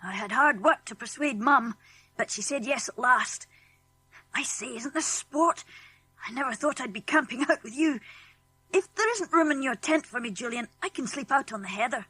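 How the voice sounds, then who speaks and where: A woman's voice: high voice, moderate pace, bright, forceful tone, breathy edge, soft background music, full character voice. A woman voicing an eager, plucky English schoolboy in a children's audiobook, boyish and earnest, with a British accent. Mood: eager, delighted. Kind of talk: children's audiobook character acting.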